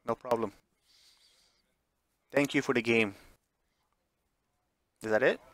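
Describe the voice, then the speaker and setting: A man with an average-pitched voice streams a story game. He comments casually and reads the text out loud, tripping over the odd word and fixing it. The sound is very dry, with hardly any room to it. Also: fairly even intonation, slow pace, no echo. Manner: stumbles over words and corrects himself when reading. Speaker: streamer playing and narrating a visual novel.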